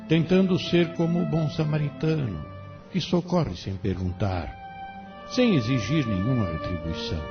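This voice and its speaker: A man playing a benevolent, fatherly guardian spirit in an audio drama, speaking with solemn, oratorical consolation and a Brazilian accent. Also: mid-range voice. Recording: dull lo-fi audio with music behind.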